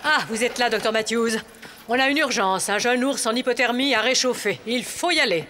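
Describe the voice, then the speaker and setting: A woman voicing a brisk, kindly animal doctor in charge in a children's cartoon, authoritative and reassuring. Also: a little high, close to an everyday voice. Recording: long reverb, music behind.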